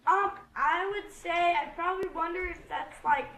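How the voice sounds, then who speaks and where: A woman's voice: very high-pitched, fairly even intonation, normal speed, a bit bright. A female pupil giving a tentative answer in class, thinking aloud with hesitations and lots of filler words. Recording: distant echoey classroom mic, noisy background.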